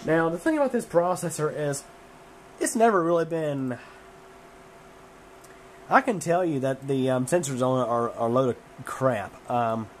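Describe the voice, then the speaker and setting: A hoarse man getting over a cold, talking casually about his computer in a home room warmed by a wood stove. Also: mid-range voice, animated, unhurried, long pauses, a little hiss or hum. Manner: rambling, many fillers and restarts, chuckling. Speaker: computer hobbyist vlogger. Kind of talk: casual tech vlog.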